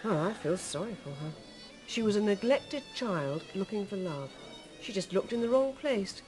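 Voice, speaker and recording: An actress playing a detective's middle-aged wife in a radio drama, conversational, sympathetic and gently disapproving. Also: female voice, mid-range voice, expressive, normal speed, music in the background, close to an everyday voice. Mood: compassionate. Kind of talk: naturalistic radio drama acting.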